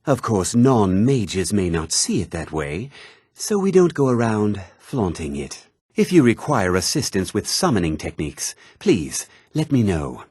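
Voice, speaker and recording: An actor voicing a learned, haughty mage scholar in a fantasy video game, speaking formally and with measured, precise diction. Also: male voice, on the low side, big pitch swings, a little mellow, husky, no echo, studio quality, full character voice. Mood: measured, matter-of-fact. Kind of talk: scripted fantasy game dialogue.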